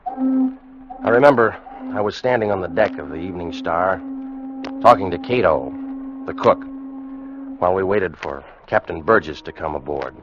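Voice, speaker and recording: Deep-voiced American schooner first mate. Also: male voice, sing-song, normal speed, pauses often, background noise. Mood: regretful. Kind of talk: 1940s-50s radio drama acting, first-person narration and dialogue. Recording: muffled vintage radio recording, music behind.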